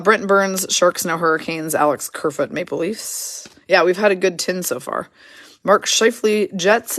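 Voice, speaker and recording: A hoarse woman hosting a card break, casually rattling off player names and teams as she opens packs. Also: on the low side, dry recording. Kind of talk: casual card-opening commentary.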